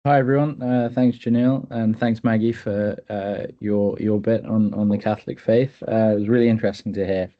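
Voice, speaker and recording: A man in his twenties from England, speaking in a thoughtful, halting, earnest way as a webinar panelist. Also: lowish voice, steady tone, muffled recording. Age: 20s. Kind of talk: personal talk in a webinar. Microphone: video call.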